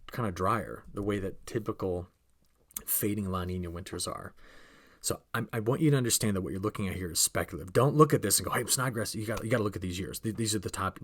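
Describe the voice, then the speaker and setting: A man presenting an informal weather outlook, explaining in a conversational, emphatic way and posing rhetorical questions as a forecaster. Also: fairly low pitch.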